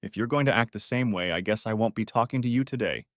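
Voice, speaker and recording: A man's voice: fairly low pitch, fast, fairly bright tone, no echo, studio quality, lightly acted. A man voicing an agitated, defensive wife in a dramatic story reading. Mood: indignant. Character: Carol, agitated defensive wife in a troubled marriage. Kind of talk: audiobook-style dramatic reading of dialogue.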